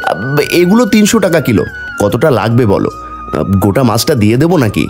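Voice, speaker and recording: A man voicing a greedy, animated village fish seller. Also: mid-range voice, brisk, over music. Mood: persuasive. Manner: hawking, haggling, dismissive. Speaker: fish seller character in a fairy-tale cartoon. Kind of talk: cartoon voice acting.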